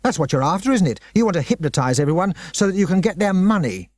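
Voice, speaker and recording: A man's voice: high voice, big pitch swings, fast talker, a little mellow, no room sound, studio quality, pitched above the performer's own voice. A man voicing a stubborn schoolboy who speaks bluntly. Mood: accusing.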